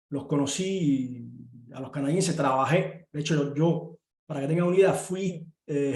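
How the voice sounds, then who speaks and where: A man's voice: on the high side, a little mellow. Earnest Cuban man, echoey room. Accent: Cuban Spanish. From Cuba. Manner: earnest, many pauses and filler sounds. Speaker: university professor and guest speaker. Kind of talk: online talk and Q&A. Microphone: video call.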